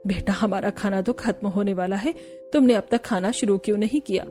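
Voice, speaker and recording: A woman voicing an older mother-in-law in a cartoon story, motherly, measured and gently commanding. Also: mid-range voice, faint music behind, pitched below the performer's own voice, lightly acted. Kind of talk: Hindi cartoon story dialogue.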